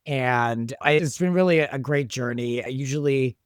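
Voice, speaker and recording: A man's voice: medium pitch, normal speed, smooth, dry recording, studio quality. A man chatting casually in an interview as the creator of a show, telling things emphatically in short, broken phrases. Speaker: show creator and director, guest.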